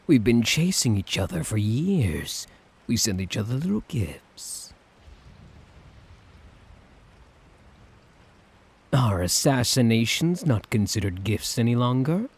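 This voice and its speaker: Husky, slow-talking suave tyrant, acted by a man. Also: medium pitch, very animated, heavily acted. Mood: playfully affectionate. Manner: teasing, theatrical, condescendingly affectionate. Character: suave, sadistic, doting male tyrant, obsessively in love. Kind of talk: ASMR roleplay acting.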